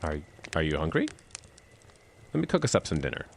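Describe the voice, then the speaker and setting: An actor playing a stubborn, reassuring boyfriend in an audio drama, with an emphatic, expressive delivery. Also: male voice, extremely low pitch, brisk, vocal fry, slight background noise, heavily acted. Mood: anxious reassurance. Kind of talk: audio drama acting with inner-monologue narration.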